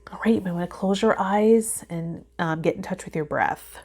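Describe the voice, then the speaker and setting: A woman's voice: on the low side, normal speed. A woman in her thirties talking reflectively and unhurriedly to camera as a tarot video host. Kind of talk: casual reflective vlog talk to camera, partly reading card meanings aloud. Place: indoors, small home filming set with fabric backdrop.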